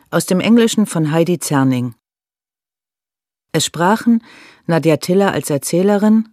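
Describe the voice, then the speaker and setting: Slow, dry-sounding woman announcer. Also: sits low, dark tone, dry recording. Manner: neutral, precise. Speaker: announcer reading credits. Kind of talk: formal scripted announcement.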